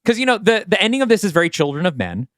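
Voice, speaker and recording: Clear, smooth-voiced man in casual discussion. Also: sits high, big pitch swings, racing, a bit bright, no echo, clean studio sound. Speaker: podcast co-host and audio play writer. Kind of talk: casual post-show creative discussion.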